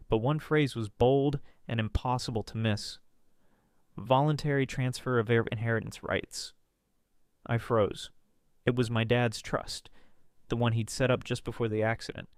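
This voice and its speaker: A man narrating a first-person family drama story in an earnest, measured way with dramatic pauses. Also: mid-range voice, clear, resonant tone, no echo, clean studio sound.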